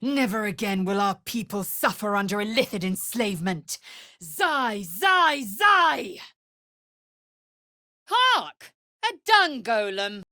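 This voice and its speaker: An actress voicing a fierce, haughty young alien warrior woman in a video game, husky and breathy, with an imperious, clipped, formal manner. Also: mid-range voice, big pitch swings, slow pace, pushed and bright, dry recording, clean studio sound, full character voice. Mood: fierce, commanding.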